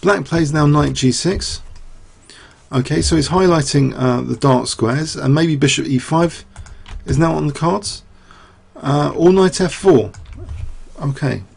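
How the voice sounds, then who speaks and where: Chess commentator, male, fairly high voice with a rough, raspy edge, thinking aloud, losing his voice. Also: a little slow. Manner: rambling, thinking aloud with many fillers and rhetorical questions. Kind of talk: chess game analysis.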